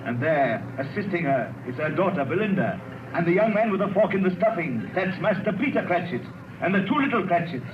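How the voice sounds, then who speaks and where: An actor playing a hearty giant spirit in a 1930s radio drama, booming and commanding. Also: male voice, very animated, fairly quick. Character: hearty giant spirit, Ghost of Christmas Present. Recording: dull 1930s radio broadcast with hiss.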